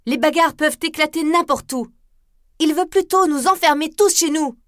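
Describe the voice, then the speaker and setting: A woman voicing a bold, defiant young female dancer who speaks in a lively, cheeky way. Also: high-pitched, moderate pace, dry recording, clean studio sound, pitched above the performer's own voice, natural voice.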